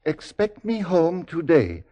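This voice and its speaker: Evasive groom for radio drama, high-pitched, jumpy, hesitant, pleading, stammering. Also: male voice, wildly swooping pitch, takes it slowly, dark tone, highs cut off, full character voice. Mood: insistent. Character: jittery, evasive, pleading bridegroom. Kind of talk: 1940s radio acting.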